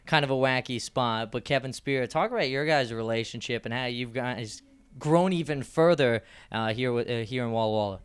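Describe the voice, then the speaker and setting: Young man, postgame host, handheld mic, very clear voice, upbeat rambling questions. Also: medium pitch, moderate pace. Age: young adult. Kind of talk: sports interview. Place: small indoor press backdrop.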